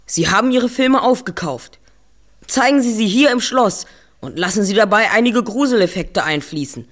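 A woman's voice: mid-range voice, expressive, normal speed, lightly acted. Brainy boy investigator, voiced by a woman: confident, exact, explanatory, mid-range audio drama delivery. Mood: explaining. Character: clever, self-assured boy detective.

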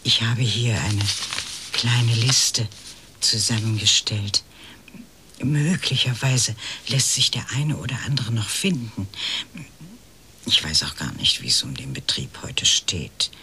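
An actress playing a polite, hesitant elderly lady, a detective's client in a radio crime drama, speaking in measured, breathy phrases. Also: extremely low pitch, animated, bright, forceful tone, a little hiss or hum, heavily acted. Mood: hesitant, explanatory. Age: 60s or older.

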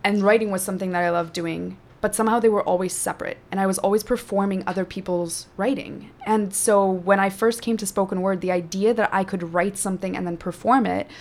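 A woman whose first language is Afrikaans, a spoken word poet and teacher, performing a poem with slow dramatic delivery and chatting about her craft. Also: mid-range voice, fairly even intonation. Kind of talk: poetry performance and conversation.

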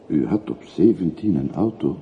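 An actor playing an earnest railway porter in a radio play, formal and deferential yet full of probing questions. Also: male voice, rumbling low, lively intonation, normal speed, vocal fry, full character voice. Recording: muffled phone-like old radio recording, faint hiss.

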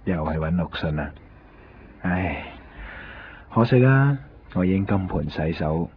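An actor with a Hong Kong accent playing a cocky, streetwise hustler and loyal friend in a radio drama. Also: male voice, deep voice, lively intonation, unhurried, a little hiss or hum. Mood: breezy. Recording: dull 1990s radio recording, faint music.

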